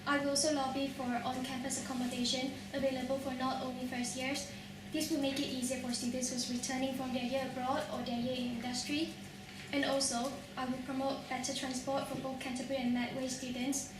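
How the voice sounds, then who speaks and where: A woman's voice: high voice, level tone, brisk. A young woman from Malaysia giving a prepared student election speech, recorded from a distance. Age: young adult. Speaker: student officer standing for election. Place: large echoey room at a public hustings. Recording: very echoey, distant mic, noisy.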